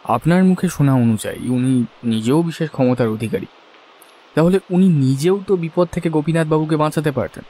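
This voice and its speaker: A man's voice: a little high, fairly quick, warm tone, rough recording. A man narrating a scripted horror audio story in the first person.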